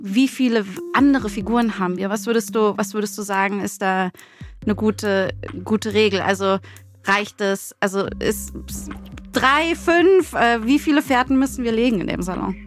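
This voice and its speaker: A woman's voice: medium pitch, normal speed, soft background music. A woman co-hosting a podcast in casual chat, asking questions and rephrasing them tentatively as she goes. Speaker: podcast co-host.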